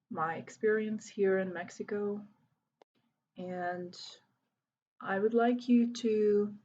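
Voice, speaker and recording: A woman in her thirties from Hungary, introducing herself slowly and carefully to students, with many pauses and fillers. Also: lowish voice, a little mellow, highs cut off, slight background noise. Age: 30s. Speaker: volunteer English teacher. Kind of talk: recorded self-introduction to students.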